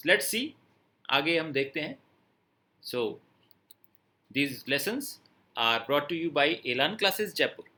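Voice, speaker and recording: A man teaching a French lesson, explaining slowly and patiently with pauses and fillers. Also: a little high, bright, forceful tone. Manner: mixes Hindi with English phrases. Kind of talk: online language lesson.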